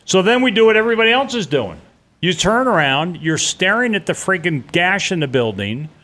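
A man's voice: high-pitched, big pitch swings, brisk, sharp tone. A middle-aged man telling a personal story in an interview, blunt and vivid, with casual swearing. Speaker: interview guest.

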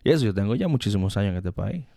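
A man's voice: on the low side, lively intonation, rapid-fire, creaky, warm tone, no room sound, studio quality. A man with a Dominican Spanish accent, talking casually and slangily as a podcast co-host, often repeating words as he goes. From Dominican Republic. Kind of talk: casual conversational chat.

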